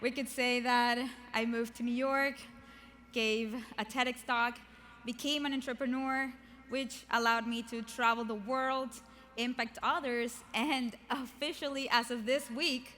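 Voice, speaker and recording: A young woman, not a native English speaker, giving a lively motivational keynote on a large arena stage, chatty and asking the audience questions. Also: on the high side, a little slow, slight background noise.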